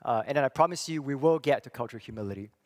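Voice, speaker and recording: A middle-aged man from Singapore giving a church talk, thoughtful and halting, with many fillers and restarts. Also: medium pitch, a little mellow. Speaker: church speaker and psychology professor. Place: church hall. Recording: long reverb of a hall.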